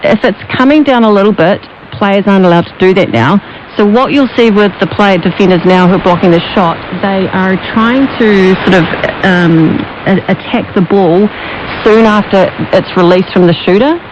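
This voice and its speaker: A woman's voice: medium pitch, moderate pace. Kiwi woman chatting sport on the radio, dull shortwave sound with lots of noise. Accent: New Zealand. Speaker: sports pundit interviewed on radio. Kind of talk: conversational radio interview.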